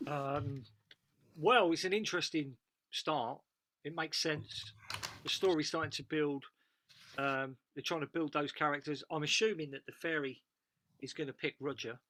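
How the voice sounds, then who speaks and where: Male panelist, fairly high and very bright voice, informal feedback. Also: steady tone, moderate pace. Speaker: actor on a reading panel. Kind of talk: casual feedback.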